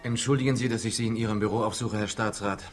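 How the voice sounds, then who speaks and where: An actor playing an earnest, urgent young investigator in a 1960s radio crime drama, polite and direct, on a noisy old recording. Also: male voice, lowish voice, fairly even intonation, quick pace, over loud music, natural voice. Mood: earnest, puzzled.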